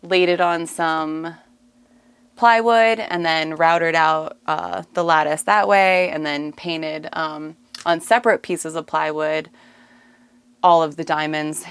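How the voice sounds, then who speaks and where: A woman's voice: low voice, unhurried, clear, resonant tone, no echo. A woman giving a casual, conversational tour of her own home as its owner. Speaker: homeowner giving a house tour. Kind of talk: casual house tour narration.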